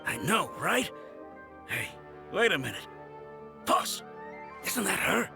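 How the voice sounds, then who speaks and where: An actor playing a jumpy, slow-witted henchman in an anime game. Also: sits high, sing-song, creaky, heavily acted. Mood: surprised. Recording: music under game dialogue.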